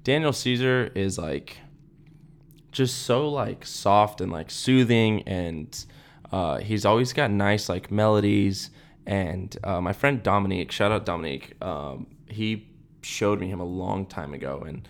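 A man's voice: on the low side, moderate pace. A young man co-hosting a podcast, chatting casually about music in a rambling, laid-back way with lots of fillers. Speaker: podcast co-host. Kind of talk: casual chat.

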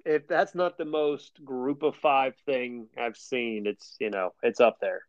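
A man's voice: mid-range voice, steady tone, measured pace, dry recording, lo-fi sound. A man hosting a sports podcast, easygoing and wry as he runs through scores and stats. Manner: easygoing, wry, stat-heavy. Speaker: podcast host. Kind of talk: casual sports chat.

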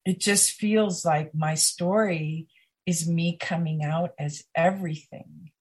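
A woman guest on a podcast, talking slowly, emphatically and intimately, with pauses. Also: fairly low pitch, steady tone, a little mellow, breathy edge. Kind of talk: conversational podcast interview.

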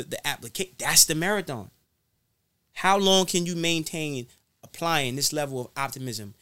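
A man's voice: on the high side, pushed and bright, no room sound. A man giving motivational talk as an interview guest, speaking emphatically in a preacher-like way and repeating phrases to drive them home.

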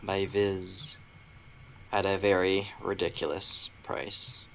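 A man with a fairly low voice, recorded with faint background noise. He co-hosts a podcast and talks about pop culture in a casual way. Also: flat delivery, slow, vocal fry. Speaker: podcast co-host.

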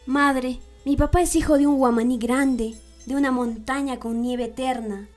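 A woman's voice: sits high, steady tone, normal speed, darkish tone, soft background music, close to an everyday voice. A woman playing a proud, devoted young village daughter in a radio drama, earnest and fervent.

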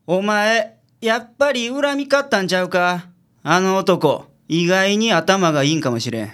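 ラジオドラマで、関西弁のぶっきらぼうで愚痴っぽい私立探偵を演じる男性。. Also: male voice, high voice, lively intonation, a little slow, a bit bright. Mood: skeptical, grumbling. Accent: Kansai. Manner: blunt, grumbling. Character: gruff, grumbling male private detective boss. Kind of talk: radio drama acting.

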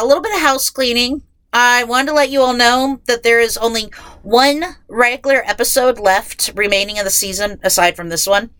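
Female podcast host, young adult, nasal with a head cold, chatty and self-mocking, bright tone, dry closet sound. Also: fairly high pitch, no echo. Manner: chatty, self-deprecating, many restarts. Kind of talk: casual podcast chat. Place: closet at home.

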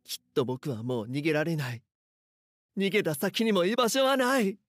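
A man's voice: on the high side, big pitch swings, normal speed, no room sound, clean studio sound, voice pushed up. A man playing a frightened, helpless schoolboy who narrates his own story in an audio drama. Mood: hopeless, resigned.

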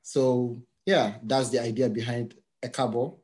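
A man hosting a casual interview, whose first language is Yoruba, talking in a relaxed, friendly way and often repeating himself with fillers. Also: mid-range voice, measured pace. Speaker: podcast host.

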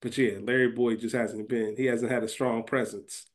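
A man's voice: mid-range voice, level tone, moderate pace. Male podcast co-host, mid-range voice, informal slangy explainer in loose conversation. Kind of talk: casual chat, fantasy draft banter.

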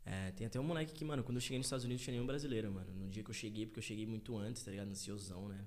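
A man's voice: fairly low pitch, rapid-fire. A young man, a podcast guest, chatting casually and telling stories loosely with lots of slang and fillers. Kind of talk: casual chat.

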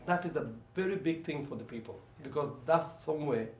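A man's voice: a little high, expressive, a little fast. A Bengali-speaking man who is a local councillor, talking in an interview in a hesitant way, full of fillers and repeated phrases. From Bangladesh. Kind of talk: conversational interview. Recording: echoey room with faint background noise.

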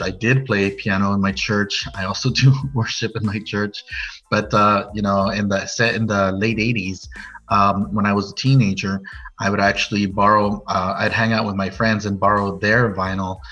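A man's voice: fairly low pitch, bright, forceful tone. An American man in his late forties telling his personal story as a podcast guest in a casual, rambling way, full of "you know". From Norwalk, California, USA. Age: late 40s. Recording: muffled lo-fi audio over music.

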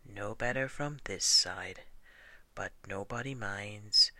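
A storybook reader voicing an old grey donkey who speaks in a mournful, resigned way with flat sarcasm. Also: low voice, no room sound, full character voice. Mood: gloomy, resigned. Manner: mournful, slow, flatly sarcastic. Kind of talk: children's storybook character reading.

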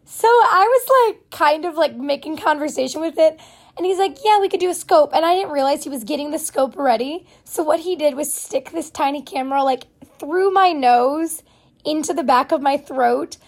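High-pitched hoarse young woman chatting. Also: normal speed. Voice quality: hoarse, raspy voice from vocal cord nodules. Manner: rambling, chatty, self-deprecating with many fillers. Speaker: podcast host. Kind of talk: casual solo podcast chat.

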